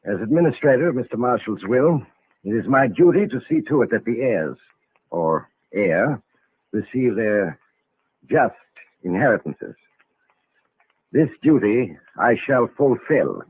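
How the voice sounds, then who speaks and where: An actor playing a solemn, suspicious estate lawyer with a formal, stiffly courteous manner in an old radio drama. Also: male voice, mid-range voice, very animated, measured pace, pauses often, breathy edge, dry recording. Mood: solemn, probing. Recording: vintage radio recording, narrow phone-like band.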